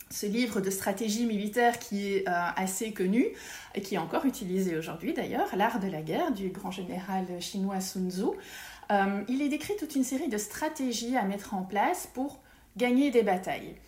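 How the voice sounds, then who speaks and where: A woman's voice: medium pitch, expressive, normal speed, a little mellow, roomy sound. A woman, a feng shui consultant, talking casually in an interview and explaining her work with many hesitations and restarts.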